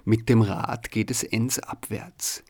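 A man's voice: lowish voice, steady tone, takes it slowly, darkish tone, slightly rough. A man with an Austrian accent, hosting a radio programme and reading scripted narration. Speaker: radio show host.

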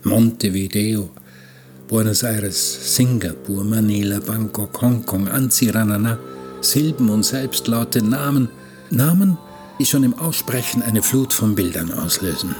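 Actor as wistful male seafarer, low voice, first-person radio drama narration, reflective, music underneath. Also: unhurried, darkish tone, lightly acted. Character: reflective wistful traveller working aboard a cargo ship. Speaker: narrator and protagonist.

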